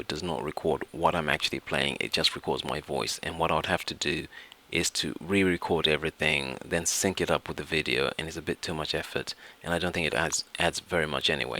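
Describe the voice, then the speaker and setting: A man narrating a software tutorial, explaining step by step in an instructional way. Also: rumbling low. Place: indoors, voice-over to screen recording.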